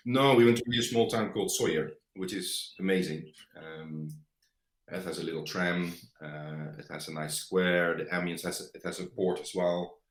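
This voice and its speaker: Deep-voiced Dutch man, halting English. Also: reverberant. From Netherlands. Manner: hesitant, searching for words, many fillers. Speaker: guest. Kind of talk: conversational interview.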